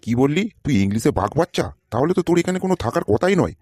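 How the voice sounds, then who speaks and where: A man's voice: fairly high pitch, very animated, racing, darkish tone, dry recording. A man voicing an irritable, sarcastic boss in a comedy cartoon, scolding and dismissive. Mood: angry, exasperated. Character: irritable, sarcastic male boss interviewing job seekers.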